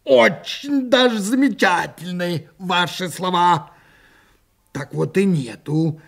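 Man acting a high-voiced aggrieved drunk husband. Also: takes it slowly, vocal fry, fairly bright tone, full character voice. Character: drunk, aggrieved, hot-headed working-class husband.

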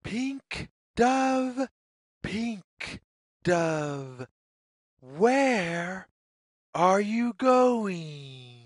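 A storyteller reading a children's read-along story in deliberate, sing-song phrases with pauses. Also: mid-range voice, big pitch swings, no echo, studio quality. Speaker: read-aloud narrator.

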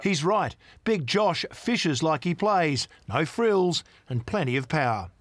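A man with an Australian accent reading a scripted news voice-over in a crisp, deliberate way. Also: fairly high pitch, lively intonation, a little hiss or hum. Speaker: reporter.

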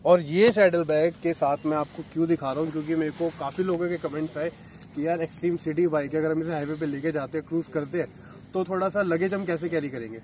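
A man's voice: a little high, fairly even intonation, quick pace, darkish tone, slight background noise. A man in his thirties, a motorcycle vlogger, chatting casually as he explains gear step by step at an open lakeshore. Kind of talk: casual product demo.

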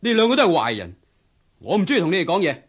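An actor playing a haughty, irritable young Confucian scholar in a radio drama, scornful and challenging, with a Hong Kong Cantonese accent. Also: male voice, high-pitched, huge pitch swings, racing, slightly rough, exaggerated voice. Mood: scornful, angry.

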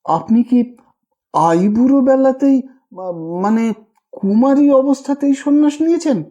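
A man's voice: very high voice, expressive, unhurried, mellow, highs cut off, pitched above the performer's own voice. A man voicing a brash, curious young man in a story, asking blunt, eager questions. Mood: prying, eager.

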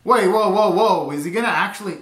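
A man in his twenties giving a casual, excitable live reaction commentary full of slangy exclamations, recorded in a small bedroom. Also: high-pitched, a little fast. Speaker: reaction video host. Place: small bedroom at home, indoors. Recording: roomy, echoey home recording.

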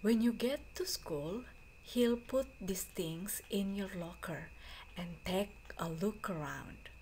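A woman teacher reads aloud for a class at a slow, careful pace. Her pitch sits in the middle range for a woman, and she sets out each phrase deliberately. There is faint noise behind her voice. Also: darkish tone. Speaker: teacher reading aloud to students.

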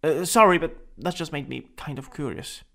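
A man playing a shy, lovestruck coworker in an intimate boyfriend ASMR roleplay, speaking softly and hesitantly, at times nearly whispering. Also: a little high. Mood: curious, coaxing. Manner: hesitant, halting, breathy drops into near-whisper. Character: shy lovestruck male coworker confessing to his crush.

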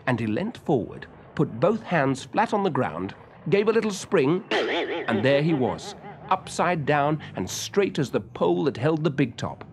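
A British man narrating a children's story in an expressive, scripted storytelling manner. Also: a little high, a little slow. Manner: expressive, clearly paced storytelling.

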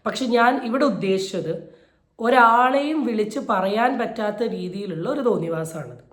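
Woman, emphatic opinion vlogger, indignant, repetitive for stress, medium pitch, roomy echo. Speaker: YouTube commentator. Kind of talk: opinion commentary on a viral video. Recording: roomy, echoey voice recording.

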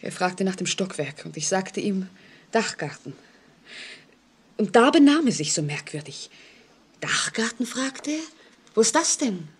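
An actress playing a frightened woman in an old radio play, speaking anxiously and haltingly with dramatic intensity. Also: medium pitch, sing-song, husky. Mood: haunted, dread. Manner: anxious, dramatic, halting, sometimes dropping to a whisper. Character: frightened single woman telling police of a stalking convict. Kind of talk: 1940s German radio play acting.

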